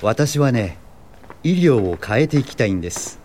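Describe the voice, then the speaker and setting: An actor playing an earnest, kindly American doctor and lecturer in a radio drama. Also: male voice, medium pitch, very animated, normal speed, darkish tone, a little hiss or hum, lightly acted. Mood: earnest, reflective.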